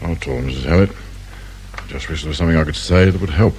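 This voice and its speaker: Deep-voiced, shrewd British police inspector. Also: male voice, fast, a little mellow, slight background noise. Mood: probing, measured. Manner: probing, brusque, sardonic. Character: shrewd, dry, irritable male police detective inspector. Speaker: actor in a radio drama. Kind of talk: British radio crime drama acting.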